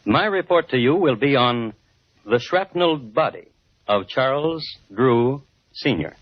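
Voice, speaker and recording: Husky, deadpan vintage radio crime narrator. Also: male voice, medium pitch, a little slow, no room sound. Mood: dry, measured. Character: dry, measured male crime historian hosting grim tales. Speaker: host and narrator. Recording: dull vintage 1950s radio recording.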